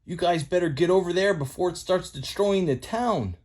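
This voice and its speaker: A man's voice: high-pitched, moderate pace, darkish tone, pitched above the performer's own voice. A man voicing a folksy old male tow truck with a twangy country drawl.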